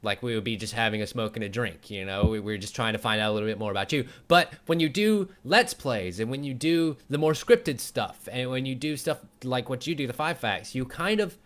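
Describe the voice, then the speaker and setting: A man's voice: mid-range voice, animated, brisk, hardly any pauses, fairly bright tone. A man hosting a casual talk show, chatting with a guest in an emphatic, opinionated way. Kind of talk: casual interview chat.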